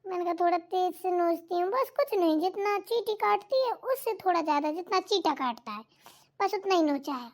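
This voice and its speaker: A woman voicing a cheeky, sharp-tongued young daughter-in-law in a cartoon comedy, mocking and talking back. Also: extremely high pitch, lively intonation, brisk. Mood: teasing. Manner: mocking, talks back, teasing. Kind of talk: Hindi cartoon comedy voice acting.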